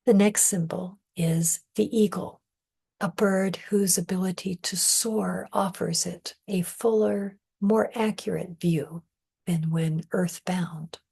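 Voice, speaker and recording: A woman leading a guided meditation in a soft, breathy, often near-whispered voice, calm, measured and soothing. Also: lowish voice. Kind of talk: guided meditation, scripted narration.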